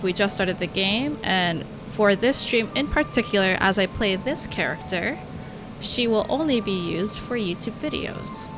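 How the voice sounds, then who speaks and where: A woman from Hawaii chatting casually as she plays through a video game, gushing over the details she sees. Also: mid-range voice. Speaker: let's play streamer. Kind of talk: casual gameplay commentary. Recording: game music playing behind. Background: video game music and sounds.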